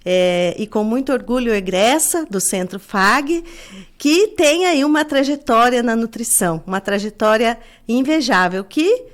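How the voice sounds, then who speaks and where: A middle-aged woman hosts an academic conversation, her voice sitting a little high. She is chatty and keeps asking little tag questions. Her delivery is relaxed and friendly. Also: a little slow. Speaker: host, nutrition professor and course coordinator. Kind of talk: academic interview hosting.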